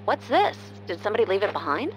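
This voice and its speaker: A woman's voice: mid-range voice, big pitch swings, fast talker, slight background noise, lightly acted, rough recording. A woman voicing a brash, hot-tempered, vain young tomboy in a video game, with a blunt, brassy manner. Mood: smug, musing. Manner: brassy, blunt, talking to herself or scolding.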